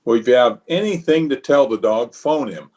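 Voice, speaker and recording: A man's voice: medium pitch, moderate pace, warm tone, natural voice. A man playing a blustering, self-important middle-aged uncle and small-town official in an old-time radio comedy, with a pompous manner. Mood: bossy.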